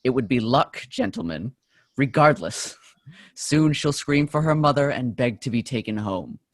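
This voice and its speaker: A man's voice: mid-range voice, lively intonation, measured pace, dry recording. A man playing a scornful, scheming medieval French soldier in a play reading, mocking and theatrical. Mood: mocking doubt. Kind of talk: stage play table read.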